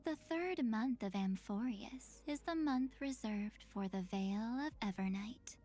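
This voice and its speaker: An actress playing a mysterious, elegant young woman in a video game, speaking in an intimate, breathy, enigmatic way. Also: a little high, unhurried, warm tone, faint music behind. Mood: soft, enigmatic. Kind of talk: video game character voice lines.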